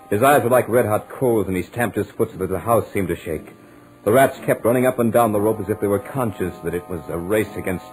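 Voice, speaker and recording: An actor playing a terrified doomed man, telling his story with dramatic, deliberate dread in 1940s radio horror style. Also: low voice, close to an everyday voice, low-quality recording. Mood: faint fading hope. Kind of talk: 1940s radio horror acting, first-person narration. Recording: old 1940s radio recording with music.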